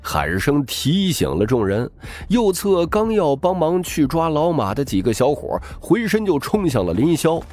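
A man's voice: a little high, huge pitch swings, measured pace, hardly any pauses, a little mellow, faint music behind. A man narrating scripted fiction as a dramatic audiobook reader.

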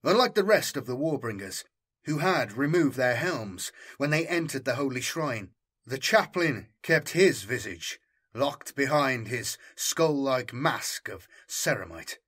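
A man narrating a scripted story as an audiobook, in a measured, solemn and dramatic way. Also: mid-range voice, expressive, fairly bright tone, breathy edge.

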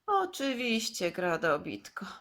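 Kindly male elder for kids' toy play, played by a woman: lowered pitch, patient, proud explainer. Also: big pitch swings, slow, a crowd in the background. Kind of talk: playful toy-play storytelling for children.

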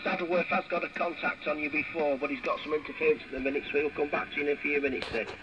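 A man's voice: a little high, fairly even intonation, rapid-fire. Man relaying over muffled, very noisy CB. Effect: CB radio transmission. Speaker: CB radio operator relaying calls. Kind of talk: radio relay chat. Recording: muffled CB radio heard through loud noise.

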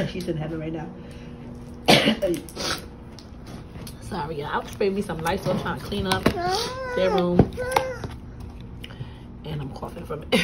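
A woman in her thirties chatting casually to her phone camera at home, congested and raspy-voiced. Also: lowish voice, big pitch swings, measured pace, pauses often, very noisy. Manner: chatty, loose and rambling. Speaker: vlogger. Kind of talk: casual vlog chat. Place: home, indoors. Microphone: phone camera at arm's length.

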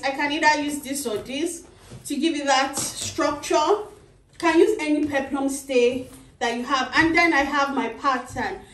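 High-voiced Nigerian woman teaching sewing. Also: a little hiss or hum. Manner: lively, animated, tags phrases with "okay?". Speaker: sewing tutor. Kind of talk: chatty tutorial with announcements. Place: small indoor sewing studio. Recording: roomy, slightly distant.

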